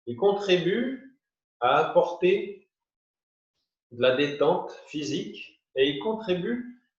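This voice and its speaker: A man teaching yoga nidra, guiding a meditation in short, unhurried phrases with pauses. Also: high-pitched, expressive. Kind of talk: guided meditation, spoken instructions. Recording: muffled, roomy, distant from the mic.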